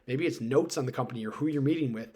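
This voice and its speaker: A man's voice: medium pitch, fast talker, darkish tone, husky. Guy doing a career tips video, informal, really stresses and repeats his main points, mid-range voice. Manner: emphatic, repeats key points. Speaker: career advice video host. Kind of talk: informal instructional talk to camera.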